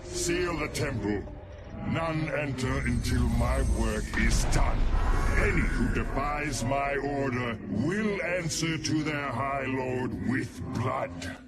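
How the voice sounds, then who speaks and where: A man voicing a menacing, commanding alien high lord in a video game. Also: slow pace, close to an everyday voice. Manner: commanding, threatening. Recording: very noisy game audio.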